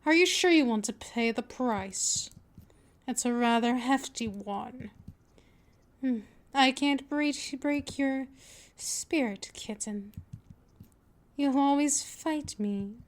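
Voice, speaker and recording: A woman playing a patient, possessive vampire mistress in an ASMR roleplay, with a deliberate, teasing, coaxing delivery. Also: high-pitched. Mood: stern, possessive. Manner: slow, deliberate, coaxing, with drawn-out pet names.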